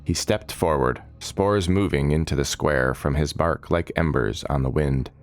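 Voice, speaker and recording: A man narrating a scripted fantasy audiobook as storyteller, measured and dramatic in his delivery. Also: rumbling low.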